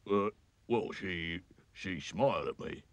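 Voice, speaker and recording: A man voicing a gloomy, stern castle soldier who speaks slowly and glumly. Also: expressive, no echo. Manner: slow, low, glum. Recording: dull lo-fi recording.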